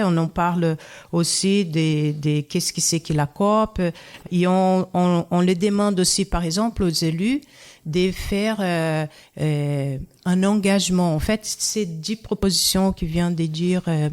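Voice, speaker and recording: A Brazilian woman speaking French as a second language with a Brazilian accent, a radio guest who pauses and restarts to find her words. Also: lowish voice, darkish tone. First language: Brazilian Portuguese. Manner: halting, searching for words, many restarts. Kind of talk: radio interview.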